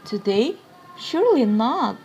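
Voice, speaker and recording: A woman acting a concerned, sensible gentleman friend in a stage play, formal and courteous. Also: fairly high pitch, very animated, takes it slowly, slight background noise, exaggerated voice. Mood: surprised, knowing.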